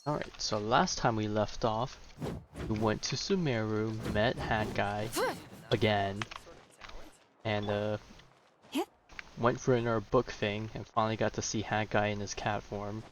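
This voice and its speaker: A man's voice: lowish voice, steady tone, measured pace, pauses often, slight background noise. A man giving casual, offhand commentary as he streams a video game, with muttered asides. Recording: slightly dull microphone, game music behind. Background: game music.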